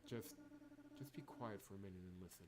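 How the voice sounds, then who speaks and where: A man plays a cryptic, standoffish young woman from a video game. The voice is on the low side, curt and dismissive, with music clearly underneath. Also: fairly quick, lightly acted. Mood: quietly insistent. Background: game music behind the voice.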